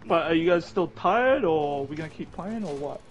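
A man's voice: on the high side, lively intonation, brisk, soft background music, poor audio. A man rambling and thinking aloud in casual chat while gaming with friends. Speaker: gamer.

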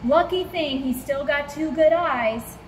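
A woman's voice: high voice, unhurried, reverberant, a little hiss or hum, voice pushed up. A woman voicing grumbling, nostalgic old snakes in a storybook, with a gossipy, reminiscing manner. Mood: reproachful.

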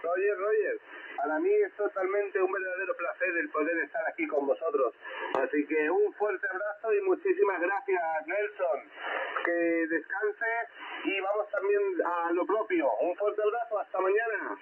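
A man's voice: high voice, moderate pace. A man with an accent of Spain, chatting casually as an amateur radio operator. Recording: tinny, static-laden shortwave radio transmission. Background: radio static.

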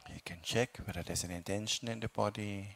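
A man with a low-pitched voice teaches meditation. He speaks calmly and without hurry, giving gentle instructions in short phrases and leaving long pauses between them. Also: gravelly. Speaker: meditation teacher, Buddhist monk.

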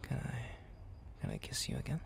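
A man playing a jealous, tender young best friend confessing love in an intimate ASMR roleplay, halting and murmuring with breathy sighs. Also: mid-range voice, wildly swooping pitch, slight background noise, exaggerated voice. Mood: tender, possessive.

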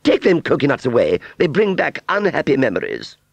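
A man's voice: fairly high pitch, wildly swooping pitch, moderate pace, breathy edge, no echo, pitched above the performer's own voice, full character voice. A man voicing a vain, haughty female fairground doll in a storybook, with an affectedly genteel but ungrammatical way of speaking. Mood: impatient, scornful.

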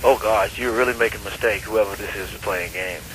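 A man's voice: on the low side, a little fast, fairly bright tone. A man answering a church phone, firm and questioning, on a slightly noisy call recording. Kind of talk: phone conversation.

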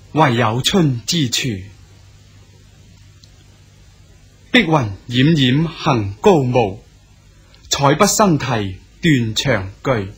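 An actor playing a friendly scholar guest in a Cantonese radio drama, coaxing and cheerful. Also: male voice, mid-range voice, breathy edge, exaggerated voice. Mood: recitative.

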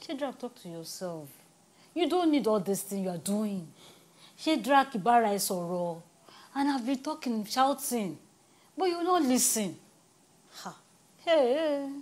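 A woman's voice: on the high side, sing-song, measured pace, pauses often, darkish tone. A middle-aged woman with a Nigerian accent playing a devout mother who prays fervently, weeping and speaking in tongues over her son. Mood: worried pleading. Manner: fervent tearful prayer in tongues. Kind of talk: Nollywood film acting.

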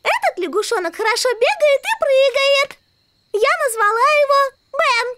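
A woman's voice: very high-pitched, animated, moderate pace, bright, forceful tone, slight background noise, exaggerated voice. A woman voicing an eager, playful little fairy princess girl in a children's cartoon dub. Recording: children's music behind the voice.

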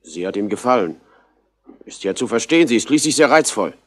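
An actor playing an evasive, nonchalant young pimp in a 1960s crime radio drama. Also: male voice, mid-range voice, very animated, fairly quick.

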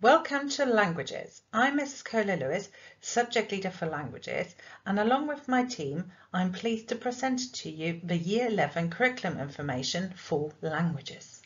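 A woman's voice: medium pitch, animated, normal speed, few pauses. Brief: female languages teacher, explanatory tone, muffled lo-fi recording. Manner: clear, explanatory teacher delivery. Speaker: subject leader for languages. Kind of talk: school curriculum presentation.

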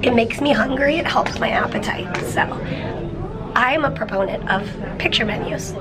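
A woman's voice: on the high side, normal speed, loud music behind the voice. A young woman hosting a casual vlog, chatting playfully into a handheld camera at arm's length in a restaurant booth. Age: young adult. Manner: playful, chatty, musing aloud. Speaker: vlog host.

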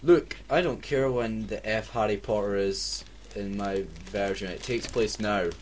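A Scottish man with a fairly low voice reads aloud in a mocking way. He breaks off for sarcastic, sweary comments in a casual tone. There is faint noise on the recording. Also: fairly even intonation, a little slow, darkish tone. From Scotland. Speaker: co-host reading fan fiction aloud. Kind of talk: mocking comic reading with casual asides. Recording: noisy home recording.

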